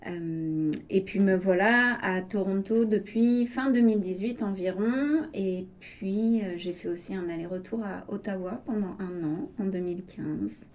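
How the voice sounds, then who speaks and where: Mellow Frenchwoman, reflective interview guest. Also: medium pitch, unhurried, few pauses. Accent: French from France. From Vendée, western France. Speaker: interview guest, arts organisation director. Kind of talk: casual personal storytelling.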